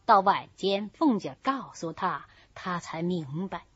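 A woman reading a classical novel aloud as an audiobook, with measured, expressive storytelling. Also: fairly low pitch, slightly rough, no echo. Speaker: audiobook reader. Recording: dull lo-fi recording.

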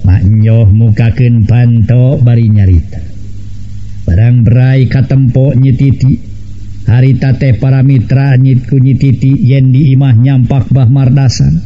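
A man's voice: mid-range voice, little pitch movement, a little slow, lo-fi sound. A man with a Sundanese accent narrating a folk tale and addressing his listeners as a storyteller.

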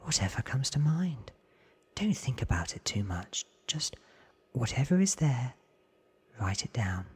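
A man voicing a weary, ancient female vampire in a hushed, blunt, whispery ASMR storybook reading. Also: mid-range voice, big pitch swings, unhurried, voice pushed up, exaggerated voice. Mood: gently urging. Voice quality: breathy, whispery.

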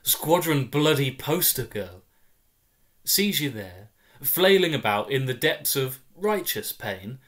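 A man in his twenties performing a comic first-person story in a wry, deadpan way with clipped phrasing. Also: mid-range voice, takes it slowly. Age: 20s. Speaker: story reader. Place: small room at home, indoors.

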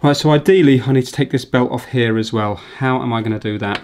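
A man's voice: mid-range voice, a little fast, darkish tone. A middle-aged man giving casual, informal commentary, thinking aloud as he works on a repair. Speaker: repair video host. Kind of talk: casual hands-on commentary while working.

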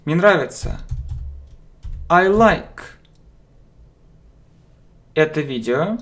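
A man teaches English at a very slow, deliberate pace, breaking each phrase into single words and repeating them. He speaks into a webcam at a home desk, and keyboard typing and mouse clicks are heard behind him. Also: a little high, expressive, a bit bright. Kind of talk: slow dictation-style language lesson. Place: home room, indoors.

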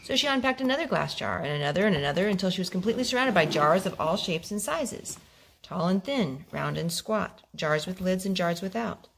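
A woman reading a picture book aloud at home, expressive and gentle, as a host talking to small children. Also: lowish voice, brisk. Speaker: storytime host, reader to young children. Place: home living room with wooden floor. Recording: home video with clear background noise.

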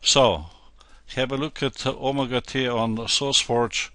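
A man with a Slovenian accent, a native Slovenian speaker, giving an unscripted software tutorial in a halting, hesitant way. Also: mid-range voice, steady tone, no echo. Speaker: translator demonstrating software.

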